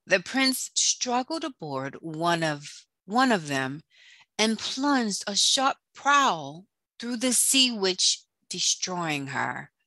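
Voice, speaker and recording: A woman narrating a scripted children's storybook in a deliberate read-aloud manner. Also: mid-range voice, big pitch swings, pushed and bright, no room sound.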